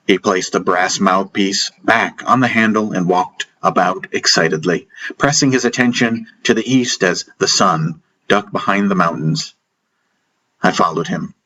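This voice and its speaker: A man's voice: low voice, pushed and bright, rough recording. A man narrating a dramatic first-person audiobook story. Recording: music behind the voice. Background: music.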